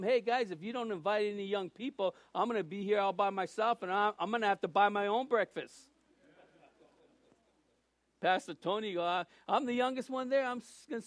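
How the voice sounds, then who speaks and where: A man's voice: high voice, dry recording. A man, giving a loose, folksy sermon full of restarts and small jokes. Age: 60s. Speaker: preacher. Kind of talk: informal sermon.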